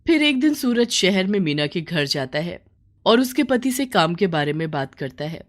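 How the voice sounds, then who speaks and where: A woman's voice: on the low side, very animated, normal speed. A woman storyteller reading a scripted moral bedtime story.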